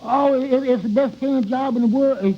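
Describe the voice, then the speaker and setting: Very high-pitched stammering little barber. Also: male voice, moderate pace. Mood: eager, flustered. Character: Shorty, timid, flustered stuttering little barber. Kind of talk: 1940s radio comedy acting. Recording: dull 1940s radio recording.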